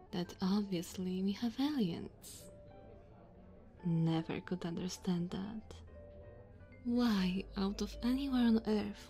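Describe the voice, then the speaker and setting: A woman's voice: medium pitch, vocal fry, mellow. A woman playing a sassy, flirty diner waitress in an ASMR roleplay, teasing and chatty.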